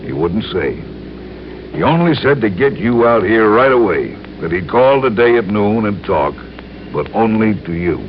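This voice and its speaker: An actor playing an earnest male insurance man who explains things deliberately and carefully in an old radio crime drama. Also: lowish voice, big pitch swings. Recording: muffled, noisy old radio recording.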